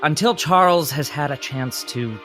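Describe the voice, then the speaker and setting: A man's voice: fairly high pitch, moderate pace, music in the background, natural voice. A man acting in an audio drama as a huffy, cowardly mill owner, fussy and melodramatic. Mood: sheepish, nervous. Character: indignant, cowardly male mill owner and society member.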